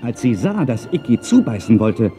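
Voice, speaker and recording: A man's voice: mid-range voice, big pitch swings, normal speed, dark tone. A man narrating a children's audio drama in a dramatic storytelling manner. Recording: music behind the voice. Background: music.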